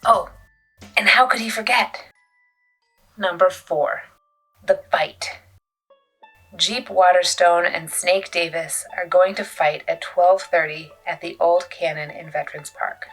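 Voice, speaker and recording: A woman reading a children's novel aloud as an expressive storyteller, with dramatic pauses and emphasis. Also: fairly low pitch. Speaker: youth services librarian reading a book aloud.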